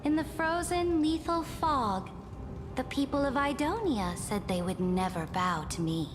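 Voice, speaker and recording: A woman's voice: high voice, animated, a little slow, in a large hall, background noise. An actress playing a proud, imperious female emperor in a video game, speaking in grand, commanding formal oratory. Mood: menacing, imperious. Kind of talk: dramatic video game voice acting. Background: music.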